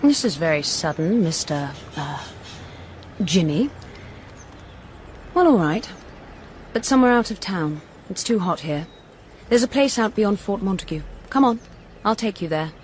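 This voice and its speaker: Casting: bold young English socialite, low register, brisk and teasing, radio drama. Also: female voice, animated, darkish tone, a little hiss or hum. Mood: brisk, confiding. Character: bold, teasing 29-year-old socialite actress.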